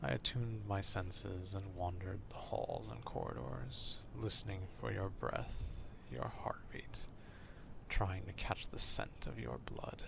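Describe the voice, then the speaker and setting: A man voicing an obsessive, courtly vampire stalker in an ASMR roleplay, speaking formally and deliberately in a confiding, half-whispered way. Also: low voice, expressive, gravelly, heavily acted. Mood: cold, intent.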